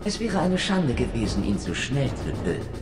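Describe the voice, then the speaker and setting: An actor playing an arrogant, sneering warrior villain in a game, mocking and theatrical. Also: male voice, medium pitch, very animated, moderate pace, lightly acted. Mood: gloating scorn. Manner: mocking, haughty. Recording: echoey distant hall, music behind.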